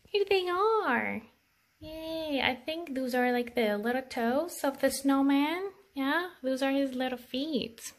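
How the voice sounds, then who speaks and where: A woman's voice: sits high, expressive, takes it slowly. A woman reading a picture book aloud for children in a sing-song way, with chatty asides to young listeners. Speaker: children's storytime host.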